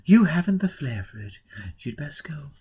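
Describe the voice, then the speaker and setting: A man putting on a rapt, mocking highborn lady. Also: big pitch swings, normal speed, mellow, full character voice. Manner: high breathy whispers, dreamy and disdainful.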